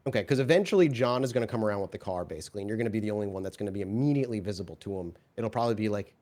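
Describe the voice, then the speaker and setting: A man's voice: fairly low pitch, lively intonation, racing, a little mellow. A man working as game master, improvising vivid narration and explaining rules in a conversational way.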